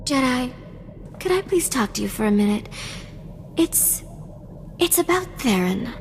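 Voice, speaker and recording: A woman's voice: high voice, sing-song, a little slow, slight background noise, exaggerated voice. An actress playing a devoted, sweet and flirty female companion in a video game, with a cutesy, coaxing manner. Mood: worried. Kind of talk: video game cutscene acting. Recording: long reverb, music underneath.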